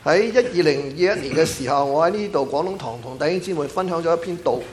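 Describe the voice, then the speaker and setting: Cantonese guy interpreting the sermon, talks fast, slightly high voice. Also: a little hiss or hum. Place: church sanctuary.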